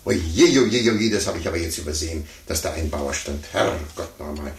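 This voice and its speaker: An actor playing a pompous, condescending sore loser, stammering and blustering in a 1950s radio play. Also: male voice, very deep voice, moderate pace. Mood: flustered, indignant. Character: pompous adult man, a blustering, sore-losing chess player. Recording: roomy, noisy 1950s radio recording.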